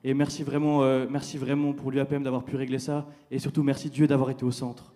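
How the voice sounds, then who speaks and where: A man's voice: mid-range voice, little pitch movement, quick pace. A man giving an earnest, grateful church testimony. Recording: crowd murmur and light noise.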